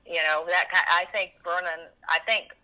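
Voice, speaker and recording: A woman in her seventies reminiscing as an interview guest, animated and emphatic, often rambling and repeating herself. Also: lowish voice, normal speed, low-quality recording. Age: 70s.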